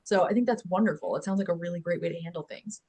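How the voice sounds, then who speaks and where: A woman's voice: on the low side, quick pace, mellow. A woman hosting a webinar interview on a video call, chatty and upbeat, with fillers. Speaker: webinar host.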